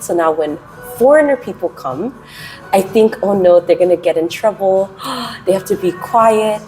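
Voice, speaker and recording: A woman from the United States in her twenties, answering interview questions casually and with energy; she speaks with a naturally loud voice that she keeps held down. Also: on the low side, poor audio. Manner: animated, chatty, drawn-out words. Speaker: interviewee, English teacher.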